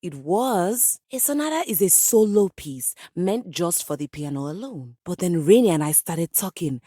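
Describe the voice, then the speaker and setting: A woman's voice: on the low side, animated, normal speed, mellow, husky, dry recording, clean studio sound. A woman playing a proud, fussy living piano in a video game, speaking as a theatrical lady composer with formal, haughty diction. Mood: excited. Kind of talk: video game character dialogue.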